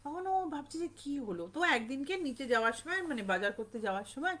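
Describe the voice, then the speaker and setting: A woman's voice: sits high, animated, brisk, fairly bright tone. A woman telling a ghost story informally, conversationally repeating phrases for emphasis and lightly acting out dialogue.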